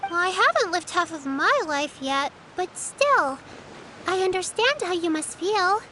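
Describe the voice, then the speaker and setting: A woman's voice: extremely high pitch, very animated, a little slow, pushed and bright, a little hiss or hum, full character voice, low-quality recording. A woman voicing a kind, sensible young girl in a video game, friendly and helpful. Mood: sympathetic.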